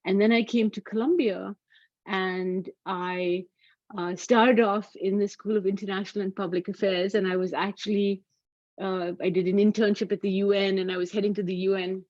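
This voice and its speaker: A woman's voice: medium pitch, fairly even intonation, normal speed, a little mellow, dry recording. A middle-aged woman from Pakistan giving an academic talk over a video call, hesitant with many fillers and restarts. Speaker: academic lecturer. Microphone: computer mic on a video call. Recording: dull, dry video call audio.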